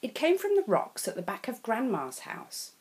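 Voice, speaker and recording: A woman from England with an English accent reading a children's picture book aloud as a storyteller. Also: on the high side. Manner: slow, expressive read-aloud.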